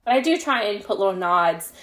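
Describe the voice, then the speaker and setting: Female interview guest, a fiction writer, mid-pitched, thinking aloud conversationally. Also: animated, brisk, a bit bright. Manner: reflective, explains at length with frequent "you know" and "like".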